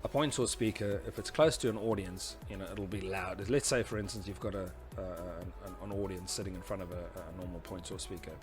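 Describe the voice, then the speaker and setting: A man with a fairly low voice presents a technical lesson. He explains step by step in an informal, conversational manner, as if talking someone through diagrams. Soft music plays faintly behind him. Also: steady tone, a little fast, few pauses. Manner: informal, conversational, often adds "you know" and "obviously". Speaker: presenter of a tutorial series on PA systems.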